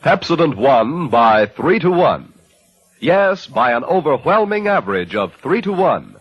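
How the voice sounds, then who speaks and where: A man's voice: fairly high pitch, big pitch swings, unhurried, slightly rough. A man reading a scripted 1940s radio advertisement. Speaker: commercial announcer. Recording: dull vintage radio commercial recording.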